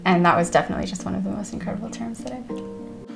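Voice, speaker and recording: A woman's voice: medium pitch, very fast, vocal fry, over music. A young woman, a graduating student, answering interview questions in an upbeat, chatty way. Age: young adult.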